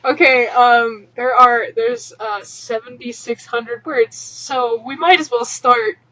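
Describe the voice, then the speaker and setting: A woman's voice: on the high side, steady tone, takes it slowly, sharp tone, poor audio. A woman hosting a podcast, chatting informally and reading fan fiction aloud in an amateur, sweary, offhand way. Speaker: podcast host.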